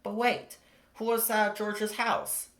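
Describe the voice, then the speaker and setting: A storybook reader giving an expressive read-aloud narration for children. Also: medium pitch, slow.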